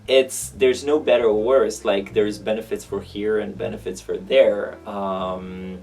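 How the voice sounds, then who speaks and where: A man in his thirties from Israel speaks with an Israeli accent and a gravelly edge, working through his thoughts haltingly as an interviewee. He is picked up from a distance, with guitar music playing behind him. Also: fairly low pitch, level tone, a little mellow. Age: 30s. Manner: halting, thinking aloud. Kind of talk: reflective interview answers.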